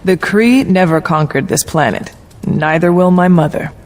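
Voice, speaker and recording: Higher-voiced, formal warrior man played by an actor. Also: normal speed, natural voice. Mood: resolute. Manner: formal, terse, precise. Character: serious young warrior hero, formal, terse, resolute. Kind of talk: video game dialogue.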